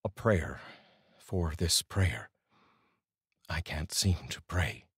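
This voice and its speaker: A middle-aged man speaking in an earnest, deliberate way, like a host reading poetry aloud, up close to a studio microphone. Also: sits low, expressive, breathy edge, no echo. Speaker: host and voice-over reader. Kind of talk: solemn, measured poetry reading and personal address to viewers. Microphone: large studio microphone, up close.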